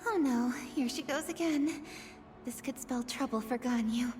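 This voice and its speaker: A woman's voice: high-pitched, measured pace, music in the background. A woman playing a poised, businesslike young city official in a video game, with a courteous and formal manner. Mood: uneasy, covering. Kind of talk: video game character acting.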